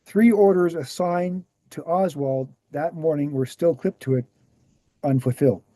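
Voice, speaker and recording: Casting: man to play earnest male author-lecturer, very mellow and soft-edged, slightly high pitch, measured explanations, dry close sound. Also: no room sound, natural voice. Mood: measured, factual. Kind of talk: screenplay table read, explanatory lecture.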